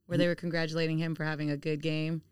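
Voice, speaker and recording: A woman's voice: low voice, flat delivery, racing, clear, resonant tone, dry recording, studio quality. A woman chatting casually as a guest on a podcast. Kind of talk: casual chat.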